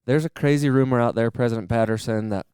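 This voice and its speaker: A man, a training-center manager and podcast guest, explaining earnestly and enthusiastically in a steady conversational way. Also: medium pitch, fast talker, clean tone, no echo, clean studio sound.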